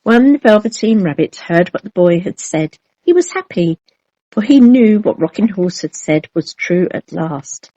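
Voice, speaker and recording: A woman narrating a children's storybook in an expressive, measured storytelling manner. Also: medium pitch, no echo, poor audio. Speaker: storybook reader.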